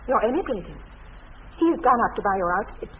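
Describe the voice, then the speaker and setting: A woman's voice: fairly high pitch, very animated, brisk. An actress playing a sweet, naive, primly witty young English lady in a period radio comedy, speaking politely and precisely. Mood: prim, teasing. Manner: polite, precise, earnestly artless, formal. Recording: tinny, band-limited old radio sound, faint noise.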